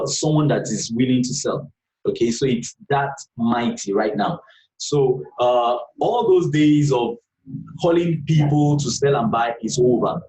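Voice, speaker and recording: A man's voice: medium pitch, a little mellow, roomy sound, distant mic, rough recording. A man teaching a live online class for beginner traders, patient and repetitive, checking in with "okay" all the time. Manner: patient, repeats points, frequent "okay" checks. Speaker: trading instructor.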